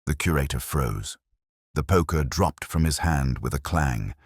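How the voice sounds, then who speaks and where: Male audiobook narrator for a mystery: very deep, expressive intonation, formal and measured. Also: slightly rough, dry recording, clean studio sound. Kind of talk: scripted mystery story narration.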